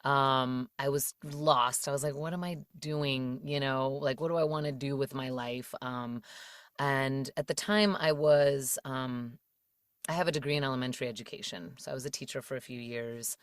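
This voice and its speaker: A woman in her thirties from the Washington DC area chats as a podcast guest with a low voice. She talks with plenty of fillers and easy laughter. The close mic gives a dry sound with no room echo. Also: moderate pace. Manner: chatty, laughs often, many fillers. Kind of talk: casual interview chat.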